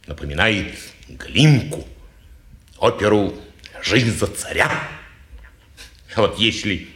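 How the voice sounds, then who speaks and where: A man's voice: on the low side, expressive, slow, a bit bright. An actor playing a pompous, touchy petty townsman who philosophizes, in 1950s Russian stage style. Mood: musing, mocking. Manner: ponderous, self-important, sententious. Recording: roomy old radio theatre recording.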